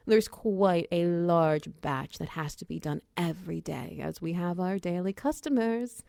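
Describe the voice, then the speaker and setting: Woman playing a chatty, lowish-voiced minotaur shopkeeper wizard. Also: vocal fry, darkish tone, no echo. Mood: upbeat, instructive. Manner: chatty, giggly, casual and conversational. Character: friendly, flustered female minotaur potion-shop wizard, bubbly boss. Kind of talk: roleplay ASMR audio drama, addressing the listener.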